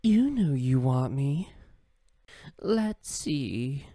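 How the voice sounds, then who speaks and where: A woman's voice: extremely low pitch, very animated, drawn-out words, dark tone, dry recording, heavily acted. A woman voicing a seductive, menacing game villainess with a sultry, taunting manner. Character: cool, seductive, menacing femme fatale.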